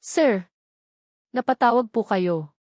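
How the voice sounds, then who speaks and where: Woman as a deferential, worried lawyer, higher-pitched. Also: animated, slow, darkish tone, no room sound, clean studio sound. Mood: startled, worried. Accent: Filipino. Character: deferential, startled male lawyer on the phone.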